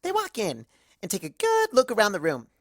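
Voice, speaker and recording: Animated woman narrating, creaky and dry. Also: mid-range voice, brisk, no room sound. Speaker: fan fiction narrator. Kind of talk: animated first-person story narration in character.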